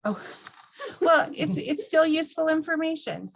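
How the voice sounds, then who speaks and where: A woman leading an informal class, with a noticeably creaky edge to her voice. She explains step by step and is encouraging as she takes questions. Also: high voice, expressive, unhurried. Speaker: class instructor. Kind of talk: informal instructional talk with group questions.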